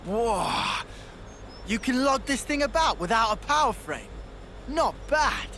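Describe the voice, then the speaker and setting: A man's voice: very high voice, very animated, takes it slowly, pushed and bright, a little hiss or hum, exaggerated voice. A man playing a boisterous, casual young brawler in a video game, slangy in manner. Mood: impressed. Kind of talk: video game cutscene acting.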